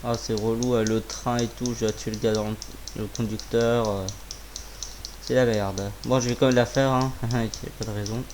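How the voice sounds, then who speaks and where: Slightly hoarse man doing gameplay commentary. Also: medium pitch, flat delivery, moderate pace, a little mellow, slight background noise. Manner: informal, muttering to himself, swearing. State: sore throat with a cough. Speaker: gaming video creator. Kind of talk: casual live gameplay commentary.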